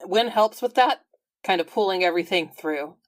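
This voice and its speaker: Lower-voiced woman playing a tabletop game. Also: animated, normal speed. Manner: informal, colloquial. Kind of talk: casual chat, describing her character.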